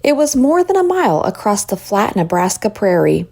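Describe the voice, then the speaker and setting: A woman reading a children's story aloud as an expressive narrator. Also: medium pitch, normal speed, gravelly. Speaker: storybook reader.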